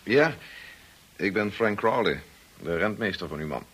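A polite estate manager in a radio drama. His voice is low and slightly husky. He speaks with formal, reserved courtesy to his employer's household. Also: lively intonation, measured pace, a bit bright, full character voice. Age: 30s.

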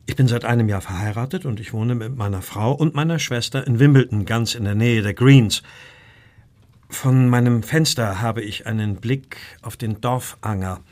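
An actor playing an anxious, well-bred Victorian art dealer in a radio play, telling his story in a formal, measured way. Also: medium pitch, darkish tone, lightly acted. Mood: uneasy, formal.

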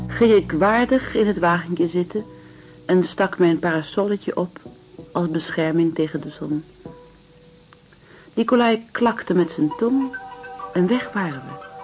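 A muffled radio-play recording with faint music. a genteel, wistful woman speaks very slowly in a fairly low voice, measured and reflective. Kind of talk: measured radio drama acting.

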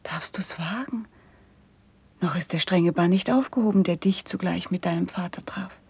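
Gentle grieving princess, mellow creaky breathy voice, hushed earnest verse, radio drama. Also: female voice, fairly low pitch, dry recording. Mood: anxious, subdued. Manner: slow, hushed, earnest stage diction. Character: noble, gentle, sorrowful princess, earnestly concerned. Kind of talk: classical German verse drama, radio play.

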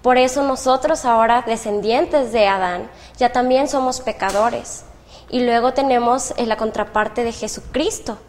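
A young woman with a Mexican accent, a medical student guest, who explains carefully and pauses to find her words. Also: on the high side, darkish tone, big-room sound, slight background noise. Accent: Mexican Spanish. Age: young adult. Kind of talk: casual discussion.

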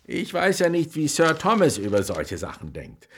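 Ein Schauspieler spielt in einem Hörspiel einen groben, polternden Vater mittleren Alters mit heiserer Stimme, der derb redet und flucht. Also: male voice, fairly high pitch, wildly swooping pitch, normal speed, a bit bright, a little hiss or hum, heavily acted. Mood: scornful, angry. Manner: rough, colloquial, cursing. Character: coarse, blustering father, quick to threaten a beating. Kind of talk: radio drama acting.

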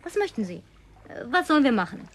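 High-voiced coquettish young-girlish woman. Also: very animated, quick pace, a bit bright, a little hiss or hum, full character voice. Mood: earnest, persuasive. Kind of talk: naturalistic German radio play dialogue.

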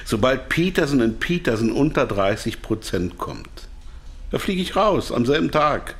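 An actor playing a captive business manager in a radio drama, anxiously eager to cooperate, hesitant and placating. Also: male voice, mid-range voice, sing-song, unhurried. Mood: anxious, explaining. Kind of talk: German radio play acting.

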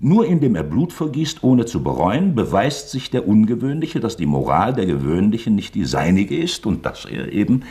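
An actor playing a sly, falsely genial investigator in a German radio play, mock-forgetful and probing with creaky politeness. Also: male voice, on the low side, sing-song, moderate pace, few pauses, mellow. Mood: feigned wonder, insinuating.

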